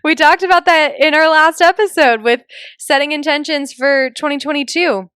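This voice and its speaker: A woman's voice: sits high, moderate pace, fairly bright tone, dry recording. Chatty woman podcaster, dry close sound. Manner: chatty, emphatic, informal. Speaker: podcast host, business coach. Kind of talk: casual solo podcast monologue.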